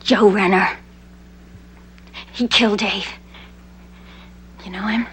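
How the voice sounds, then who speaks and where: An actress playing an anxious, desperate young American informant in a 1960s television crime drama. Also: female voice, mid-range voice, fairly even intonation, takes it slowly, a bit bright, breathy edge, exaggerated voice. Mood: regretful, confiding. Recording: noisy old TV soundtrack with hum.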